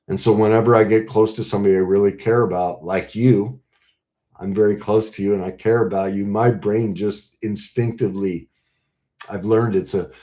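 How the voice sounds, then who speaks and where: A man speaking as a relationship coach, earnest and emphatic, breaking his talk into short, deliberate phrases. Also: deep voice, a little mellow. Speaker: relationship coach and podcast host. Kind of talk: coaching talk to camera with role-played example conversations.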